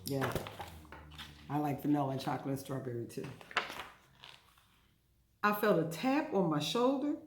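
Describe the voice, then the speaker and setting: A woman hosts storytime for little children in a very animated voice that swings up and down. She is playful and chatty and keeps asking her young listeners questions. The room around her is noticeably echoey. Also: low voice, moderate pace, pauses often, very noisy.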